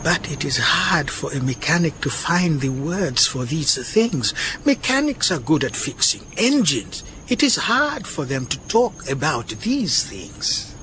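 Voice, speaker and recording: Shy mechanic, lively high-pitched man. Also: unhurried, few pauses, exaggerated voice. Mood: nervous, earnest. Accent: Southern African. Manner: hesitant, formal, courteous. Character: shy, kindly, worrying gentleman car mechanic. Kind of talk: radio drama acting. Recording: noticeable background noise, chirping night insects.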